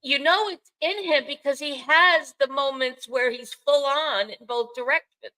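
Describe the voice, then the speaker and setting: A woman's voice: high voice, measured pace, bright, forceful tone, rough recording. An older woman with a Bronx accent, co-hosting a sports podcast in casual, unscripted chat with wry, self-mocking asides. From the Bronx, New York, United States.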